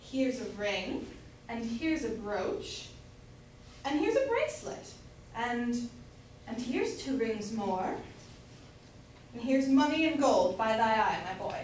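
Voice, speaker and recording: A woman's voice: a little high, very animated, takes it slowly, long pauses, darkish tone. A woman playing a doting, gossipy London mother in an amateur staged reading of an old comedy, speaking archaic English. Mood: doting, boastful. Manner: archaic English, fond addressing of her boy. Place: indoor room, staged reading. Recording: very echoey room, distant mic, faint noise.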